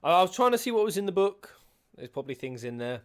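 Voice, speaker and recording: A man's voice: high voice, very animated, fast talker, clear, resonant tone. A man giving casual, playful, sweary and theatrical reaction commentary as he streams game cutscenes. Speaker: streamer, gaming host.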